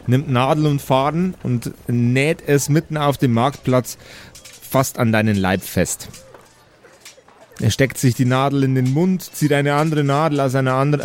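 A man with a medium-pitched voice runs an improvised tabletop game as its game master. He describes scenes deliberately and vividly, with noticeable noise behind the voice. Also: darkish tone.

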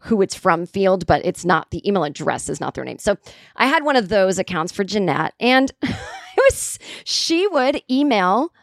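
A raspy-voiced woman in her forties, a comedian hosting a podcast, telling a story casually with plenty of swearing and acted-out quotes. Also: mid-range voice, normal speed, no echo, studio quality. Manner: profane, animated, acts out quotes.

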